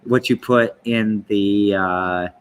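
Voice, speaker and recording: A man's voice: lowish voice, fairly even intonation, slow pace, clear, resonant tone. A man hosting a casual interview podcast, talking in a loose, rambling way with many fillers and restarts. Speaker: podcast host. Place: indoor sanctuary room of a venue.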